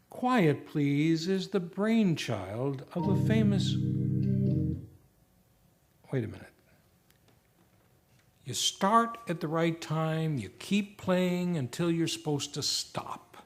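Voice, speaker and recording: A man playing a sardonic radio show announcer, speaking in a measured, theatrical, scripted style. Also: fairly high pitch. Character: exasperated, dryly sarcastic older radio show announcer. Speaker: host.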